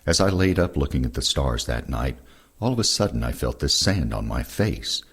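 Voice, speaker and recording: An older man with a gravelly, husky voice reading first-person war recollections aloud. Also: extremely low pitch, sing-song, normal speed. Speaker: reader of veterans' oral histories.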